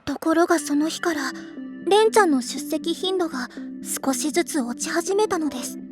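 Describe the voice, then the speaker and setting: Timid, very high-pitched schoolgirl. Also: lively intonation, normal speed, a little mellow, faint music behind. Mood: narrating, wistful. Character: timid, sweet, anxious high school girl. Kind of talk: anime acting and narration.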